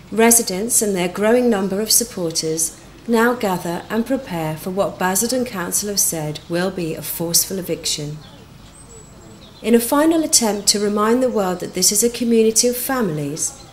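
A woman's voice: mid-range voice, a little hiss or hum. A woman reading scripted narration for a documentary. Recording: music behind the voice. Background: music.

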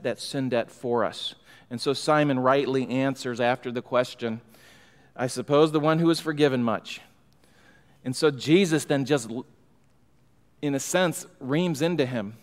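A middle-aged man preaching an expository sermon from a pulpit microphone, emphatic and building in fervor. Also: medium pitch. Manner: emphatic, rising in fervor, gestures while preaching. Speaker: pastor. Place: church sanctuary, large room indoors. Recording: long hall reverb.

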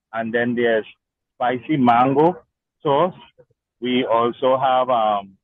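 A man's voice: medium pitch, no room sound. Man from Trinidad and Tobago, lo-fi feed. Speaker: market vendor, guest. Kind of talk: casual interview over a video link. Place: outdoor market stall under a tent.

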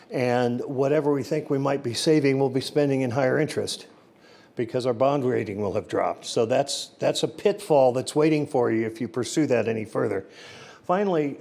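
An older man talks at a mid pitch in a blunt, lecturing way. He sometimes trips over a word before pushing on. He speaks into a microphone in a large meeting room. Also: creaky, a little mellow. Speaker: election precinct chief giving public comment. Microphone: seated at a desk mic.

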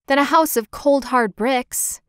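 A woman's voice: high voice, measured pace, fairly bright tone, clear, resonant tone, dry recording, clean studio sound. A woman voicing a small, cheerful young male piglet in a storybook, with a perky sing-song manner. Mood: cocky, defiant. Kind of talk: storybook character voice.

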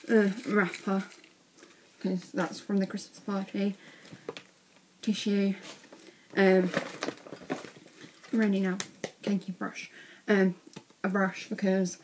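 A teenage girl, chatting casually in a vlog from her bedroom, a bit croaky from being ill, with lots of ums. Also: mid-range voice, noisy background. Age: teens. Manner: chatty, rambling with many ums. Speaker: vlogger. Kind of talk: casual vlog showing bag contents. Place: small bedroom indoors.

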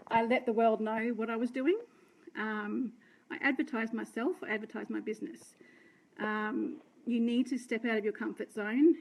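Chatty Australian woman, slightly croaky. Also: fairly high pitch, a little mellow, low-quality recording. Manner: chatty, rambling, self-deprecating, greets viewers. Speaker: direct sales team leader. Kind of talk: unscripted live video talk. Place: indoor room by curtains. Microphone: phone held close, front camera.

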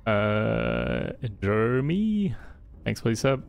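A man's voice: lowish voice, huge pitch swings, takes it slowly, vocal fry, faint music behind. A young man with a German accent chatting casually through a live game stream, reading and answering viewer chat with frequent hesitations.

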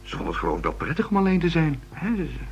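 A man's voice: a little high, huge pitch swings, very fast, creaky, muffled recording, exaggerated voice. An actor playing a hesitant, rambling young man who is a murder witness in a Dutch radio play. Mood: nervous, hesitant. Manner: hesitant, rambling, restarts words. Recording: noisy old radio recording with hum.

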